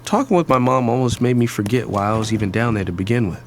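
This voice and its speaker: A man's voice: mid-range voice, fast talker, background noise, lightly acted. A young man playing a disgruntled son in an audio drama, narrating in the first person in a casual, slangy, conversational way. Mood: casual, wry. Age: young adult. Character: disgruntled young son from a troubled wealthy family. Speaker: actor and narrator.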